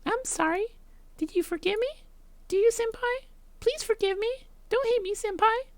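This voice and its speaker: A woman's voice: very high-pitched, normal speed, slight background noise, pitched above the performer's own voice. A woman voicing a sweet, clingy, anxious yandere schoolgirl in a visual novel, with a cutesy, pleading manner. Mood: apologetic, pleading.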